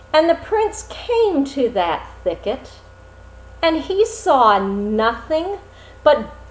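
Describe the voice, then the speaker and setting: A woman narrates a storybook tale in a fairly high voice. She takes her time, phrasing each part deliberately with dramatic pauses. The recording is roomy and echoing. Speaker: storyteller reading a fairy tale aloud.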